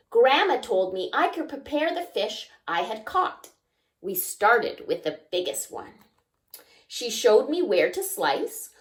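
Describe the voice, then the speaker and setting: A woman reads a picture book aloud for children in a fairly high voice. Her delivery is very slow, with animated, swooping intonation. She addresses young listeners directly with simple questions. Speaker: children's story time reader.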